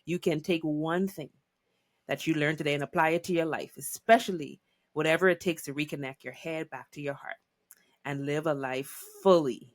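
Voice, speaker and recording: Low-voiced woman hosting a podcast. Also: fairly even intonation, moderate pace, dry recording. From Bahamas. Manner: effusive and encouraging. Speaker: podcast host. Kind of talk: casual interview chat.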